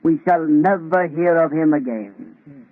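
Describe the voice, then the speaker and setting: An actor playing a genial, reassuring professor in an old radio drama, measured and formal. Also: male voice, on the high side, no room sound. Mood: reassuring, grave. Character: reassuring, genial male professor, protective mentor. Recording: tinny vintage radio recording.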